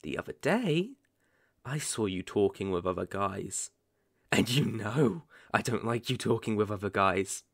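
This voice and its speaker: Man acting slow, creaky-voiced possessive yandere boyfriend. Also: on the low side, lively intonation, no room sound, studio quality. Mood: simmering jealousy. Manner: theatrical, slow, coaxing with sudden intense swells. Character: possessive yandere boyfriend, menacing yet sugary, unhinged. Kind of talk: ASMR roleplay acting. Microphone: close studio microphone.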